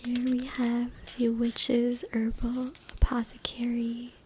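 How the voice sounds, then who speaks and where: A woman whispers an ASMR reading in a breathy, unvoiced voice. Her delivery is hushed and informal, with little rise and fall. She is close to a USB mic, with clear background noise and clicking. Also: on the high side, completely flat delivery, slow pace. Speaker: ASMR creator. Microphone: close USB microphone. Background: tapping, scratching, clicks.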